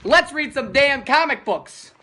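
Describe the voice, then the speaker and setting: A man's voice: very high-pitched, lively intonation, unhurried, pushed and bright, slight background noise. A man giving a jokey comic book review with a sarcastic, theatrical, mocking delivery. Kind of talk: jokey scripted review commentary.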